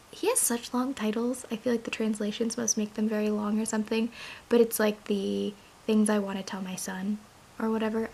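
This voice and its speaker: A young woman with a hoarse, raspy voice, chatting casually about books as a vlogger, with plenty of filler words. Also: medium pitch, slight background noise. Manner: chatty, rambling, many "like" fillers. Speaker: book vlogger. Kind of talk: casual chat to camera.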